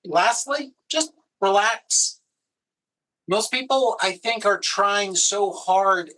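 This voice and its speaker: A man's voice: sits high, slow pace, sharp tone, poor audio. A man, a video producer, giving easygoing, practical advice in a casual conversation.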